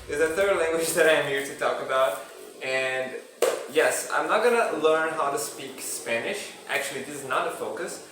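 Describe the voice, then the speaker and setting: A man's voice: on the high side, fairly bright tone, recorded from a distance, slight background noise. Young man vlogging in an echoey room. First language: Portuguese. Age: young adult. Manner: hesitant, with frequent restarts and fillers. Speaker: language learning vlogger. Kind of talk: casual vlog monologue.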